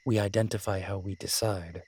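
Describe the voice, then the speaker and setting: A man acting as a wry, flirtatious incubus demon in a roleplay audio drama, teasing and sardonic, with a husky, breathy voice. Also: on the low side, steady tone, moderate pace, a little mellow. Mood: wry, explanatory.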